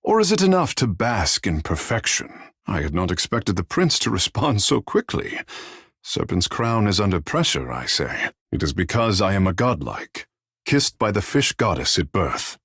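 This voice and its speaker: A man playing a vain, flirtatious, sea-blessed godlike artist in a video game, husky and theatrical, with florid, playful delivery. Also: fairly low pitch, sing-song, normal speed, a bit bright, dry recording, clean studio sound, full character voice. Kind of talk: video game character dialogue.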